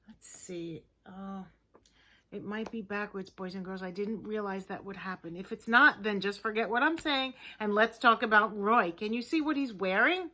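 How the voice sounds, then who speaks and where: A woman teaching young children in a lesson to camera, speaking simply and encouragingly with deliberate, emphatic phrasing. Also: medium pitch, pushed and bright.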